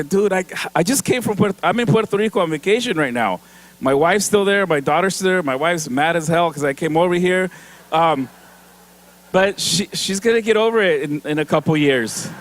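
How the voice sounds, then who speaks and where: A man giving an award speech on stage, chatty and joking, often laughing and slipping into Spanish. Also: sits high. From Mexican-American from San Diego. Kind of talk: casual speech with stage humor. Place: event stage before an audience.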